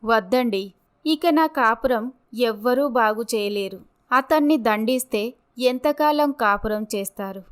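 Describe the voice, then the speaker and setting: A meek, wounded young wife in a village storybook drama , played by a woman. Her voice is high-pitched and very clear. She speaks earnestly, as if always pleading. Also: unhurried, close to an everyday voice. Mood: resigned, hurt.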